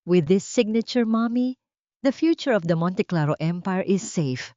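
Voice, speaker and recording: A woman's voice: medium pitch, expressive, unhurried, dark tone, no room sound, dull audio, close to an everyday voice. A woman voicing a scheming rich young villainess in a melodramatic audiobook. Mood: sweetly scheming. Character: scheming rich young woman, sweet-talking heiress turned shrieking villain.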